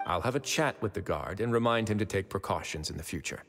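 An actor playing a composed young prison administrator in a video game, speaking in a measured, assured, wry way. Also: male voice, lowish voice, animated. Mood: casual, wry. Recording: long reverb, music underneath.

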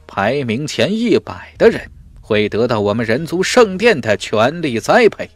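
A man voicing a commanding middle-aged master in a fantasy audiobook, speaking in a solemn, declamatory and deliberate way. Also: mid-range voice, dry recording. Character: commanding middle-aged male cultivation master addressing young warriors. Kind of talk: fantasy audiobook character acting.

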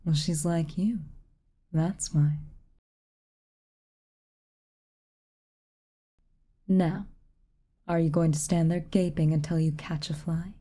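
A woman voicing a haughty, reclusive tsundere witch in a soft ASMR roleplay, aloof and commanding, at times dropping near a whisper. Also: deep voice, steady tone, a little slow. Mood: brusque, kind. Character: haughty, reclusive tsundere witch, stern but secretly kind.

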